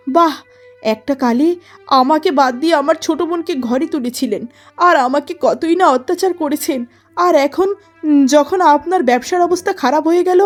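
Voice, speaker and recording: A woman's voice: high-pitched, lightly acted. A woman playing a wronged elder-sister wife in a melodramatic cartoon story, proud, bitter and firmly reproachful. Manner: firm, reproachful.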